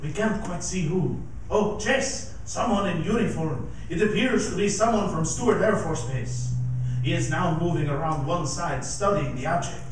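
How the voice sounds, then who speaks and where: A man's voice: on the high side, normal speed, natural voice. A man acting as an urgent, alarmed field reporter in a live radio drama. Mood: watchful, hushed. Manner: tense, urgent, declamatory. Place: live stage performance in a performing arts center. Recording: distant mic, echoey theater, faint noise.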